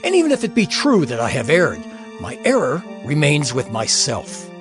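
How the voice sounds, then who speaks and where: An actor playing an afflicted, anguished man who laments and pleads against injustice in a dramatized scripture reading. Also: medium pitch. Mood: bitter reproach. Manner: theatrical, impassioned, lamenting. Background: music.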